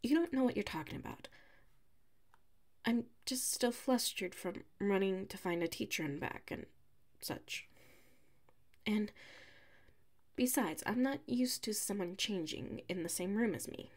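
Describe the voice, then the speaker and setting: A woman performs a tsundere classmate in an intimate audio roleplay. She speaks slowly and haltingly at a medium pitch, defensive and huffy, as if hiding a crush behind her flustered scolding. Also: expressive. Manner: halting, huffy, defensive, slips into muttered whispers.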